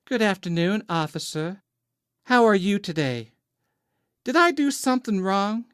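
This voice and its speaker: Guy doing a flustered southern belle girl, high sweet innocent pleading voice, audiobook style. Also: very animated, measured pace, fairly bright tone, clean tone, no echo, studio quality, full character voice. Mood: coy. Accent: affected Southern US. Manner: coy, pleading.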